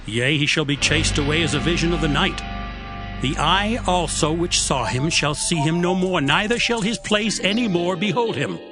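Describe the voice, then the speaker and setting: A man's voice: a little high, moderate pace, natural voice. An actor playing a stern man rebuking his friend in a dramatized scripture reading, lecturing in tone. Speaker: actor voicing Zophar. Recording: faint music under voice.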